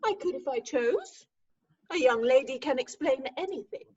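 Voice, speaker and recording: Woman acting a high-voiced, haughty young Victorian lady. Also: big pitch swings, moderate pace, a little mellow. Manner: haughty, theatrical. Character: self-possessed, indignant young lady arguing with her fiancé. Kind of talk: staged reading of Victorian farce. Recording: dull remote call audio.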